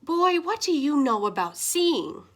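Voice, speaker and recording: Woman playing a storybook grandma, upbeat, folksy, reassuring, bright voice. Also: high voice, sing-song, unhurried, voice pushed up, heavily acted. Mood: cheerful.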